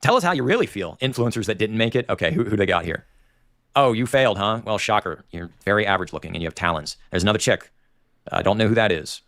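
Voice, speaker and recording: Man, nasal voice, Dayton Ohio Appalachian accent, opinionated livestream rant, lively swings in pitch, dry close sound. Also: fast talker, no room sound. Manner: opinionated, digressive, animated ranting. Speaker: livestream host. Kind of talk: rambling livestream commentary.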